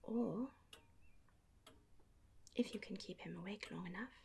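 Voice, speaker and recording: A genteel Regency married lady, acted by a woman in an ASMR period roleplay, whispers softly and breathily. She is affectionate and house-proud, with unhurried, old-fashioned phrasing. The close recording has clear background noise with a ticking sound. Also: mid-range voice. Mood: fond, pleased. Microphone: close microphone.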